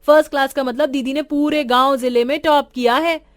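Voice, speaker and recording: A woman's voice: high voice, fairly even intonation, a little fast, dry recording, pitched above the performer's own voice, natural voice. A woman voicing a brash, discontented young village daughter with an eager, whiny manner. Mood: whiny complaining.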